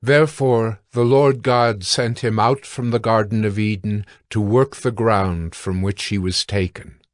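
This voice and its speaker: Casting: man, solemn scripture narrator, unhurried, on the low side, dry sound. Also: fairly even intonation, no room sound.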